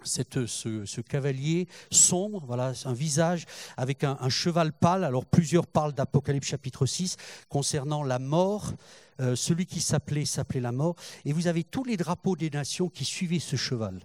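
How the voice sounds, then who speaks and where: An older man preaching with a fairly high voice in a close, dry sound. He halts and repeats words, then climbs into fervent passages. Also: a little mellow, dry recording. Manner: halting, repeats words, rises in fervor. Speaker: pastor. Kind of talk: sermon.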